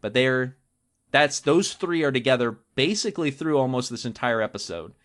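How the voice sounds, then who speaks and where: A man with a mid-pitched voice hosts a casual science podcast. He explains things informally and with some humour, stretching key terms for emphasis as he talks to a co-host. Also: animated, normal speed, fairly bright tone.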